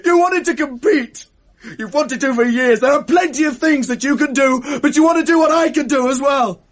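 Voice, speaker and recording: A man's voice: very high voice, moderate pace. A man playing a proud young man, drunk and bitterly accusing his friend of betrayal in a 1980s radio drama. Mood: furious. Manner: wounded, accusing outbursts. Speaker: jilted friend.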